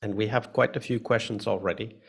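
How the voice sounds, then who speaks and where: A man with a low, gravelly voice hosts a live online session. He talks in a relaxed way, full of fillers and restarts. The sound comes through a video call mic. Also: a little fast. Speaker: host.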